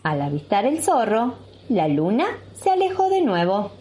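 A woman's voice: mid-range voice, wildly swooping pitch, normal speed, dark tone. A woman with an Argentine accent, a kindergarten teacher speaking to young children as a storyteller. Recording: clear background noise.

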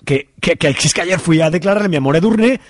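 A man's voice: a little high, expressive, fast, dry recording, studio quality, lightly acted. A man playing a hapless, naive, lovesick young man in a comic radio soap, stammering and hesitant. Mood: sheepish, lovesick.

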